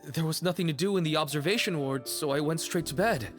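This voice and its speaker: A man's voice: on the high side, fast, fairly bright tone, lightly acted. An actor playing a bewildered male prisoner in a video game, hesitant and unsure as he answers. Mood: confused, hesitant. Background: game music.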